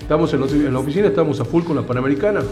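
A man's voice: fairly high pitch, rapid-fire, music in the background. A man hosting a casual local radio show, stuffy with a cold and rambling, repeating himself as he chats. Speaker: radio host.

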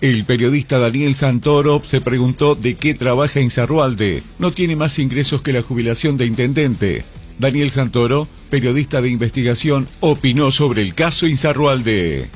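A man's voice: medium pitch, normal speed. A man with an Argentine accent reading scripted radio news as a presenter. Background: music bed.

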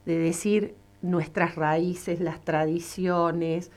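Radio host, woman, slow and unhurried, slightly low voice. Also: level tone, darkish tone. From Catamarca, Argentina. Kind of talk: radio interview.

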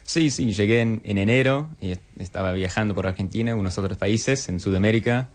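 A man's voice: fairly low pitch, fairly quick, a little mellow. A young man in his twenties from Scotland, whose first language is English, answering interview questions in learned Spanish with a Scottish accent, hesitant, with short answers and restarts. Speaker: guest, bagpiper.

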